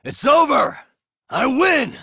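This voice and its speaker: A man voicing a sharp, level-headed private detective in a video game, with a dry, matter-of-fact manner. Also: extremely high pitch, very animated, slow pace, gravelly, dry recording, exaggerated voice. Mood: excited shouting. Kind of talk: English game voice acting, detective dialogue.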